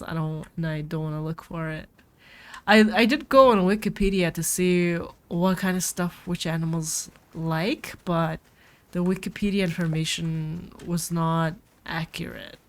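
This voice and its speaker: A woman with a cold, rambling casually as she plays a farming game and talks to her viewers. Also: lowish voice. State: sick with a cold. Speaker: gameplay streamer. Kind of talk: casual gameplay commentary. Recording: faint game music behind.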